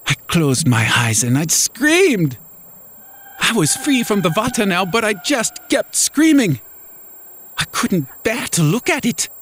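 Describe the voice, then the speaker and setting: A man's voice: high-pitched, very animated, a little slow, a bit bright, slight background noise. A man narrating a first-person horror story in a dramatic, scripted storytelling style. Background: music.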